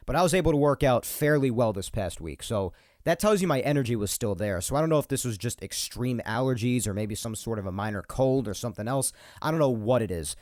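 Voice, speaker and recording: A man's voice: medium pitch, expressive, brisk, few pauses, a little mellow, dry recording, studio quality. A young man from New York hosting his solo podcast. He sounds a little nasal and congested from a cold, rambles, repeats himself and laughs between points. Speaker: podcast host. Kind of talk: casual solo podcast monologue. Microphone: podcast microphone.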